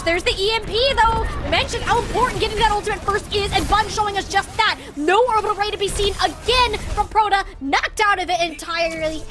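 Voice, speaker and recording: A woman's voice: extremely high pitch, fairly bright tone. A woman giving live esports commentary and analysis in a conversational, analytical way.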